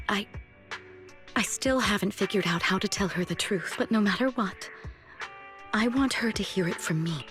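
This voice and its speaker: A woman playing a gentle, self-reproaching retired singer in a video game, earnest and hesitant. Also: mid-range voice, natural voice. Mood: earnest, grateful.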